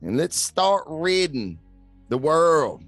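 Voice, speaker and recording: A folksy redneck preacher with a Southern American drawl, pitched high and swinging widely as he rants from the pulpit. His fiery sermon unfolds slowly, with faint music behind it. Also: big pitch swings, a bit bright, dry recording, full character voice. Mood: fervent, rallying. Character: folksy, ranting backwoods preacher man. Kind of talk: sermon parody.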